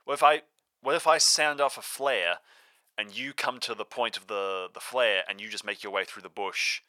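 A man's voice: on the low side, moderate pace, bright, forceful tone, no room sound. A man playing in an improvised audio drama, talking casually and stumbling a little as he makes up his part. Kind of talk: casual improvised role-play chat.

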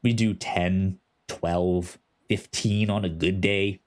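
A man with a stutter hosting a casual podcast, halting with many ums and restarts. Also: low-pitched, fairly even intonation, a little mellow. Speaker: podcast host.

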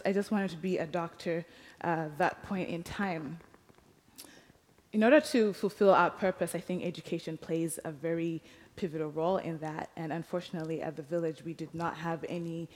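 A young Ugandan woman gives a personal talk in a slightly low voice. Her delivery is measured and reflective, with a light touch of humour. The sound rings with the long reverb of a hall. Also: a little mellow. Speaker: speaker telling personal story.

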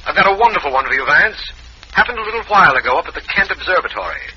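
An actor playing a jovial, teasing district attorney in an old-time radio mystery, hearty and brisk. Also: male voice, high-pitched, big pitch swings, a little hiss or hum. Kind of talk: 1940s radio mystery acting. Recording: tinny, muffled old radio recording.

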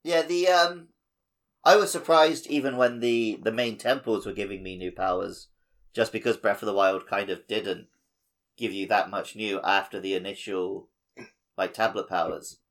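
A man's voice: lowish voice, fairly even intonation, normal speed, a bit bright. A man co-hosting a casual gaming podcast, chipping in with conversational comments. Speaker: podcast co-host.

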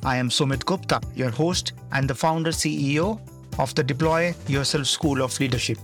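Earnest man hosting over soft music. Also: mid-range voice, moderate pace. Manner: earnest, emphatic, repeats key words. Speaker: podcast host. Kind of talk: scripted intro then interview questions.

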